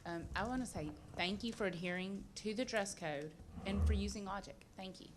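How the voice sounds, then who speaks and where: A woman's voice: mid-range voice, fairly quick, noisy background. A woman speaking briefly and politely at a board meeting. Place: school board meeting room.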